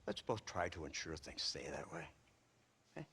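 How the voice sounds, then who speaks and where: An actor playing a smug, menacing government lawman in a game, with an American accent, a husky voice and condescending mock politeness. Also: very deep voice, very animated, normal speed, sharp tone, full character voice. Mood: smug threat. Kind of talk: video game cutscene acting.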